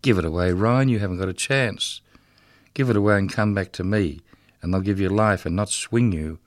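A man's voice: sits low, normal speed, no room sound, studio quality, voice pushed down, natural voice. A man reading in the voice of a condemned prisoner, bitter and mocking, in a rhythmic, sardonic recitation. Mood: taunting. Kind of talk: poetry reading.

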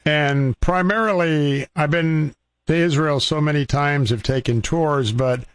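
An American man speaks as a talk radio host in a fairly high voice, recorded close and dry. He argues his opinions haltingly, often repeating the start of a phrase before pushing on with it. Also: smooth, dry recording. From Tampa, Florida, United States. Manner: halting, repeats and restarts phrases while making a point.

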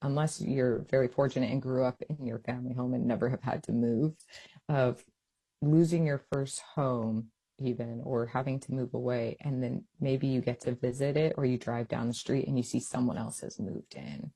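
A young adult woman from Hawaii, an author, chatting in an interview; she is animated, laughs often and uses lots of fillers. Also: a bass voice, moderate pace, breathy edge. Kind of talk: interview conversation.

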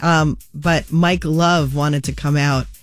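A woman's voice: low voice, fairly even intonation, takes it slowly, dry recording, soft background music. Chatty woman radio host, dry studio sound. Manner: chatty, reads celebrity news with quips. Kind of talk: casual morning radio chat. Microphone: studio microphone.

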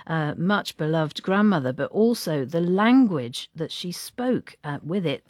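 A woman presenting live radio news, measured and steady, with a few "uh"s when ad-libbing. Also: fairly low pitch, dry recording. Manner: measured, with filler "uh"s in ad-lib. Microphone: studio microphone. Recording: dry studio sound.

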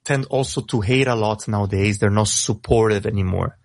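A man with an Italian accent, speaking English as a second language, who talks fast as a rapper guest on a radio show and often repeats words as he starts a thought. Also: fairly low pitch, darkish tone, no room sound. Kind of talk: casual radio interview.

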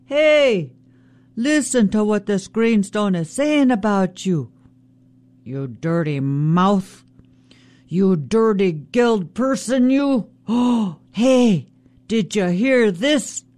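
A woman voicing a loud, scheming male trickster raven who yells taunts and insults. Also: medium pitch, expressive, takes it slowly, dry recording, voice pushed up. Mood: taunting, yelling. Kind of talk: traditional oral storytelling.

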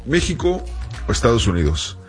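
A man's voice: mid-range voice, sing-song, normal speed, faint music behind. A man hosting a soccer talk show, speaking conversationally with frequent fillers and self-corrections. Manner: conversational, many fillers like "este" and "eh". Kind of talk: casual sports talk.

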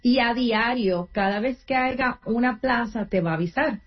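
A woman's voice: fairly high pitch, normal speed. Woman explaining job tips, muffled sound. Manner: earnest, explanatory, with restarts. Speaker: guest, nonprofit career coach. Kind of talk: interview answers, advice.

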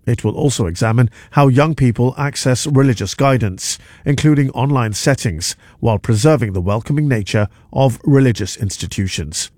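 A man reads radio news headlines in a measured, formal way. His voice sits at an ordinary pitch. The studio sound is dry and close. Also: a little mellow, no room sound. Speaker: newsreader. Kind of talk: news bulletin reading.

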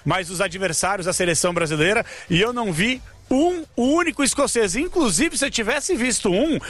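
Bright high-voiced male radio reporter. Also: moderate pace. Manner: lively, joking, chatty with passers-by. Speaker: field reporter. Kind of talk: live radio street report. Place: outdoors on a busy city square.

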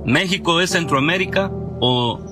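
A man's voice: fairly high pitch, normal speed, over music. A man from Guatemala giving an earnest, sentimental video message.

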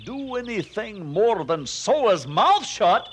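An actor voicing an excitable, vengeful animal who owns a vineyard, blustering and sputtering in a cartoonish audio drama. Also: mid-range voice, takes it slowly, slight background noise. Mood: indignant. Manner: blustering, sputtering, repeats words in agitation.

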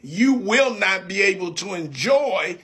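Bright, high-voiced man preaching. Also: expressive, a little slow. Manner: emphatic, repetitive preacher cadence. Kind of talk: preaching in conversation.

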